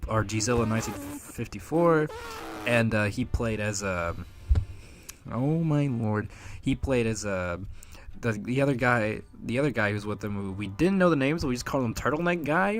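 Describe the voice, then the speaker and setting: A man giving a casual, unscripted solo commentary as a creator, in a rambling, halting way and often chuckling. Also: mid-range voice. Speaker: fan dub creator, commentator.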